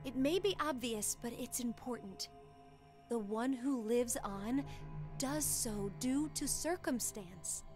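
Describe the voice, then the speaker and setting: An actress voicing an earnest, cerebral young woman in a video game, deliberate and didactic, pressing with leading questions. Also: on the high side. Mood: insistent. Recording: music under the voice.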